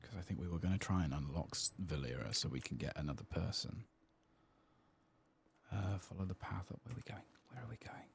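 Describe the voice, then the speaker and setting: A man hosting a gaming video in a soft-spoken ASMR style, sometimes whispering, murmuring his thoughts unhurriedly as he plays. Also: deep voice, expressive, creaky, a little hiss or hum. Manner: murmured, unhurried musing to himself.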